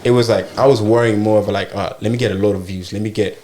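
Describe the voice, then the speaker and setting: A young man, a musician guest, talking casually and thoughtfully in an interview, with many pauses and fillers. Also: lowish voice, steady tone, a little mellow, slight background noise.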